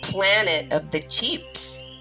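A woman's voice: fairly low pitch, slow pace, over loud music, rough recording. A woman playing a mock-grand radio serial announcer, formal and theatrical.

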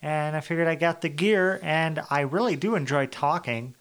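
A man's voice: on the high side, normal speed, a bit bright. Joking, self-deprecating male podcast host. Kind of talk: casual podcast chat.

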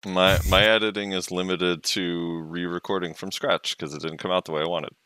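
A man's voice: sits low, steady tone, normal speed, bright, forceful tone, slight background noise. A man co-hosting a podcast, teasing and curious as he asks the guest questions. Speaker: podcast co-host. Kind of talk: casual podcast interview.

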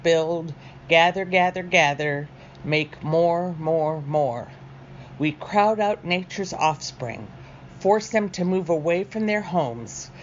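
A woman reading her own poems aloud in a deliberate, careful manner. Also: fairly low pitch, lo-fi sound, a little hiss or hum. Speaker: poet reading own work. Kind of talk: poetry recital.

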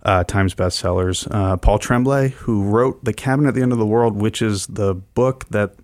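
A man co-hosting a radio show, explaining events informally and enthusiastically, with hesitant pauses and repeated words. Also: lowish voice, a little mellow, no echo. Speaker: radio co-host and festival programmer.

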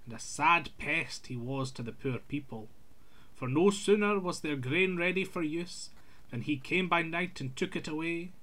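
A man's voice: fairly high pitch, normal speed, sharp tone. A man reading a scripted folk tale aloud in an old-fashioned literary storytelling manner.

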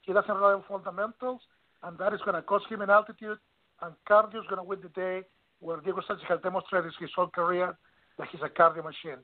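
A man's voice: high-pitched, fairly even intonation, fast talker. A man making fight picks in casual chat, emphatic and blunt, swearing casually. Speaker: panelist. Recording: tinny phone-line audio.

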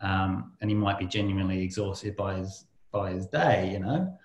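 A man's voice: low-pitched, normal speed, echoey room. A man hosting a podcast interview, chatting loosely, telling stories with a laugh and some swearing. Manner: storytelling, swears freely, laughs while talking. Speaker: podcast host. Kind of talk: casual interview chat.

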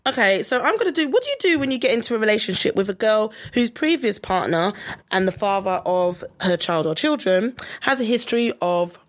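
A woman's voice: medium pitch, very animated, fairly quick. A woman co-hosting a podcast, asking questions in a playful way. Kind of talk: casual podcast chat.

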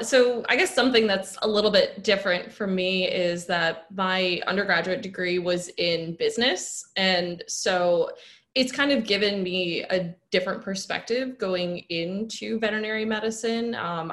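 Young female student panelist, slightly low register, casual explanatory talk. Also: fairly bright tone. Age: young adult. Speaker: veterinary student, podcast guest.

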